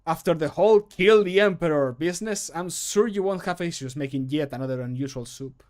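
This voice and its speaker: A man's voice: on the high side, brisk, a little mellow, natural voice. A man performing game voice lines as a wry, dramatic fantasy adventurer, projected and theatrical with dry asides. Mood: teasing.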